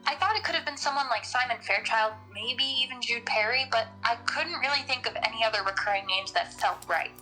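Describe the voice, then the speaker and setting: A woman's voice: a little high, a little fast, hardly any pauses, pushed and bright, rough recording. A woman in an in-character panel discussion, reasoning things out in a matter-of-fact way. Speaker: podcast panelist in character as a researcher. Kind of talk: semi-improvised in-character discussion of a horror audio drama. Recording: music playing behind the voice. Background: music.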